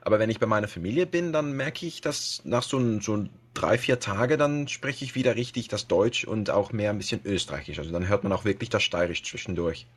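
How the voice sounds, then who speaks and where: A man's voice: on the low side, fairly quick, few pauses, slightly rough. Hesitant Dutch man speaking German. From Netherlands. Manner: hesitant, many fillers and restarts, laughs often. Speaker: podcast guest, web radio host. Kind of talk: casual podcast interview.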